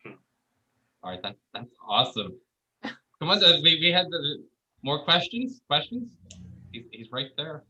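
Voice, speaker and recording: A man's voice: fairly high pitch, sing-song, measured pace, long pauses, sharp tone, lo-fi sound. A man hosting a casual interview, stumbling and repeating words as he jokes along. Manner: stammering, repeats words, jokey. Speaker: student show host.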